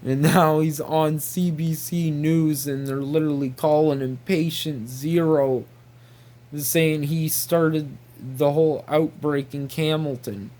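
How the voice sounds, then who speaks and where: A man's voice: on the high side, steady tone, slow, dark tone. A man rambling casually to viewers, exasperated and incredulous, repeating himself and peppering his talk with mild slang swearing. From New Brunswick, Canada. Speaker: video creator.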